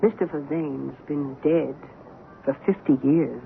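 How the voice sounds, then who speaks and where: An actress playing a careful, earnest middle-aged widow in an old-time radio drama, hesitant but insistent. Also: female voice, sits low, fairly even intonation, a little slow, vocal fry. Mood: calm, explaining. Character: earnest widowed friend, calm believer in parapsychology. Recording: phone-like, noisy old radio recording.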